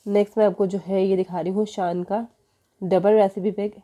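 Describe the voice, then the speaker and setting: A woman's voice: mid-range voice, moderate pace, highs cut off. A woman, walking through supermarket sale prices in a casual vlog, filming a poster on her phone in a store. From Pakistan. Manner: steady price listing with filler "jo hai". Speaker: shopping vlogger. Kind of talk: casual product walkthrough. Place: indoors in a supermarket.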